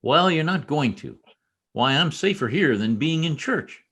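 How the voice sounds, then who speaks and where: A man in an old-time radio play, acting a protective, brusque young state trooper with a gruff, commanding manner. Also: fairly high pitch, expressive, unhurried, fairly bright tone, exaggerated voice. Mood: protective, dismissive. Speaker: amateur radio play actor. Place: home, over a video call.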